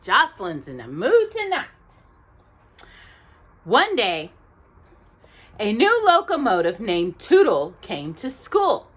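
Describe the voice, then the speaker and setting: A woman reading a children's story aloud expressively, as if to young listeners, pausing to check in with them. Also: on the high side, huge pitch swings, fairly bright tone, husky. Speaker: storytime reader.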